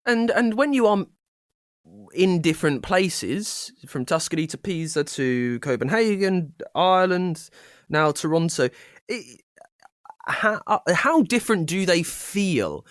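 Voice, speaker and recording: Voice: medium pitch, lively intonation, takes it slowly, dry recording, studio quality. A podcast host asking an author questions with enthusiasm, often restarting sentences. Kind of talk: interview questions.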